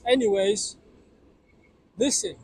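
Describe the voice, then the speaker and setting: A man's voice: high voice, slow pace, darkish tone, a little hiss or hum. Rambling young man in his twenties. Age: 20s. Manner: rambling, filler-heavy, restarts often. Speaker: vlogger. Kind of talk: casual vlog monologue. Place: parked car in a parking lot.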